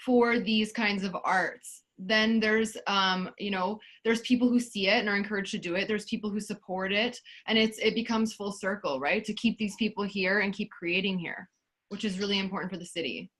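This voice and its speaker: A woman's voice: mid-range voice, steady tone, normal speed, a bit bright. Canadian woman chatting as an interview guest, laid back, lots of um and yeah. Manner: easygoing, agreeable, many "um" and "yeah". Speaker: interview guest, festival founder. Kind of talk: casual interview chat.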